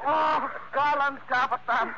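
Shrill, fawning radio schemer. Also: male voice, steady tone, takes it slowly. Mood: flattering. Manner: obsequious, stilted broken English. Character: fawning, flowery-tongued comic Chinese schemer. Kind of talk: 1940s radio acting. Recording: dull, noisy 1940s radio recording.

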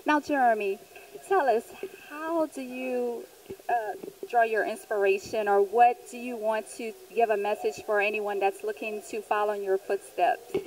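A woman's voice: fairly high pitch, steady tone, moderate pace, darkish tone, a little hiss or hum, rough recording. A woman in her thirties hosting an on-camera promotional interview on a handheld microphone. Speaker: magazine TV host and interviewer.

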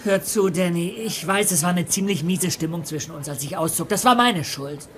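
A needy, apologetic sitcom friend in German dub. His high voice is hesitant and halting, with faint background noise. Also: a bit bright. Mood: sheepish.

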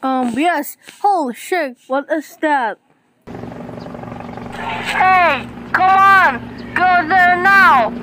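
A halting, exclamatory voice doing amateur character dubbing for a homemade animation, on a very noisy homemade recording. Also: very high-pitched.

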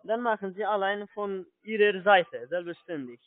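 High-pitched man on a muffled phone. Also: animated. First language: not German. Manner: polite, halting, with repeated confirmations. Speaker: call-centre phone agent. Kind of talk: salesman giving step-by-step instructions on a phone call. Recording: muffled, dry telephone line.

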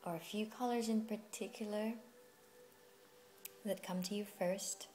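A woman leading a guided meditation as a yoga teacher, speaking in a soothing, unhurried way with long pauses. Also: mid-range voice, steady tone, warm tone. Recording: music playing behind the voice. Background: music.

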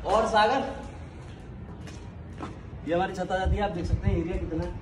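Young man, fairly high pitch, casual explanatory fitness vlog, addressing viewers, noticeable street ambience. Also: normal speed. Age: young adult. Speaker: fitness vlogger. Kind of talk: casual vlog talk to camera. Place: unfinished building, rooftop and open balcony. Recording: clear background noise, outdoor ambience, traffic.

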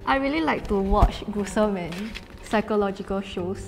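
A woman's voice: medium pitch, moderate pace, gravelly. Teasing young woman, music underneath. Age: 20s. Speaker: blind date contestant. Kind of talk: casual chat. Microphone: lapel. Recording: music bed and long reverb.

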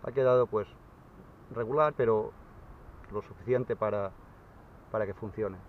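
Relaxed man explaining on a kayak. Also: fairly low pitch. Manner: relaxed, explains slowly with fillers. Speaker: kayak sailing instructor. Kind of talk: casual how-to explanation on the water. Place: on a sail kayak at sea. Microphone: action camera mounted on the kayak. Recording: dull lo-fi camera audio, faint wind.

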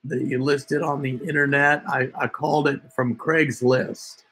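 A middle-pitched American man in his forties speaks at a slow pace. He chats casually and haltingly, as if half muttering to himself, like a prank-show host at home. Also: flat delivery, a bit bright, low-quality recording. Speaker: prank caller and video host. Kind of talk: casual chat to camera. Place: home room with wood shelves, indoors. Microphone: desk camera at arm's length.

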